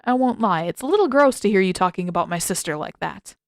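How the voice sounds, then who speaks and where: A woman voicing a cocky, teasing teenage boy who protects his own, in animated, sarcastic audiobook banter. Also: medium pitch, quick pace, dry recording, studio quality, lightly acted. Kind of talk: audiobook character voice.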